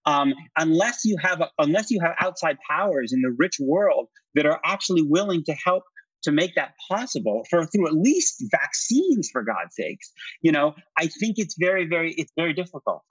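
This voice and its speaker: Earnest man, higher-pitched, slightly husky voice. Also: big pitch swings, no room sound, low-quality recording. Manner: earnest, halting with repeated words, frequent "you know" and "right?". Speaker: interview guest, political commentator. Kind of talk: conversational political analysis.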